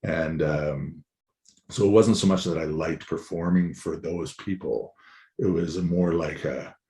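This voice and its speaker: A man speaking as a musician guest in a casual interview, candid and rambling, his voice hoarse after a weekend of shows. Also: a bass voice, unhurried, dark tone. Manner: rambling, candid, swears freely.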